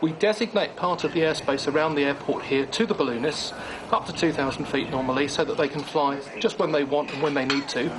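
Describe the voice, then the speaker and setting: Quick-talking man with a higher-pitched voice. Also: noisy background. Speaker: air traffic control manager. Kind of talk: interview explanation.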